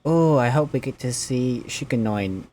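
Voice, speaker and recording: A woman's voice: a bass voice, expressive, normal speed, dark tone, slight background noise. A woman game streamer giving casual playthrough commentary and reading some dialogue aloud.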